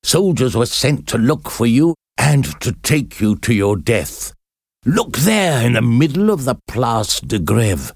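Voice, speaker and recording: A man voicing an obsessive middle-aged priest, speaking slowly with a trembling, menacing tone. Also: mid-range voice, big pitch swings, no room sound, studio quality. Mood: pleading menace.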